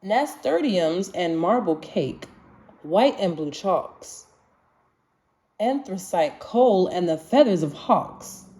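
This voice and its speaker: Female storybook reader, soft-edged mellow voice, highly varied intonation, expressive singsong delivery of rhyming verse for kids. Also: slow. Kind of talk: children's picture book read-aloud in rhyme.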